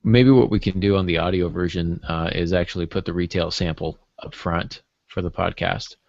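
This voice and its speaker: A man's voice: low voice, fairly even intonation, normal speed, creaky, darkish tone, no room sound. A man chatting casually as a podcast co-host, with a wry, joking manner. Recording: dull, muffled lo-fi audio.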